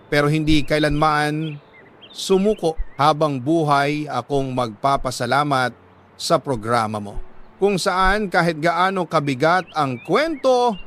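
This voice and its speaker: A man with a Filipino accent reads a letter as a storytelling host, earnest and sentimental, pausing deliberately between phrases. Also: on the high side, fairly bright tone, slight background noise. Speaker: radio storytelling host reading a listener's letter. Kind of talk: dramatic first-person letter narration.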